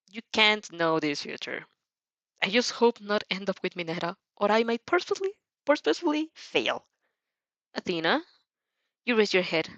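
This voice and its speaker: Animated woman narrator, dry muffled sound. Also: medium pitch, dry recording. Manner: animated, stumbling and repeating words. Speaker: fan fiction narrator. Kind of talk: expressive amateur audiobook reading.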